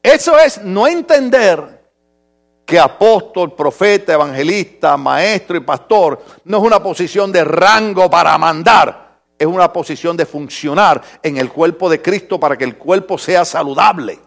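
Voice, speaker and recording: High-pitched, gravelly man preaching theatrically. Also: lively intonation. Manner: theatrical, swings from murmured asides to drawn-out shouts; humorous, mimics people in dialogue. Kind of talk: animated sermon to a congregation.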